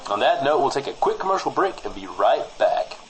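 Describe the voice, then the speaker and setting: Animated deadpan comedy host, a man. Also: medium pitch, brisk, background noise. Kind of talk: improvised mock news radio banter.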